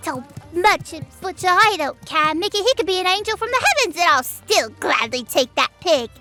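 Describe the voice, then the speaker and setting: A woman's voice: very high voice, sing-song, normal speed, over music. A woman playing a scrappy, reckless young street urchin girl in an audio drama, feisty, defiant and dramatic. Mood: hungry, stubborn.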